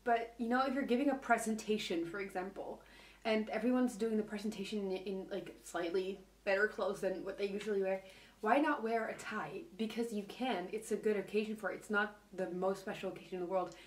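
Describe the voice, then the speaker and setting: A woman with a low voice giving casual advice in a video, hesitant and full of fillers. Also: fairly even intonation, brisk. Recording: video replayed into a room mic, echoey and distant.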